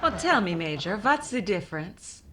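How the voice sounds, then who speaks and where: A woman's voice: mid-range voice, very animated, moderate pace, very noisy, lightly acted. A woman playing a coquettish, wry femme fatale in an old-time radio comedy. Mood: coy. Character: deadpan, coquettish married femme fatale recounting her tale.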